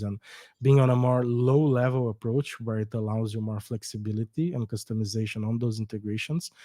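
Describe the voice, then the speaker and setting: A man's voice: medium pitch, mellow, no room sound, studio quality. A young adult man from Brazil with a Brazilian Portuguese accent, a startup co-founder explaining his company earnestly in a podcast interview.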